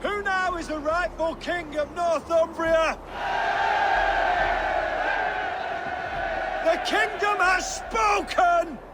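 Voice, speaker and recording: An actor playing a fierce battle leader in a video game, shouting war cries to rally warriors. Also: male voice, very high voice, steady tone, slow, a loud crowd. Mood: battle fury. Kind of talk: dramatic video game acting. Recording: loud battle and outdoor noise.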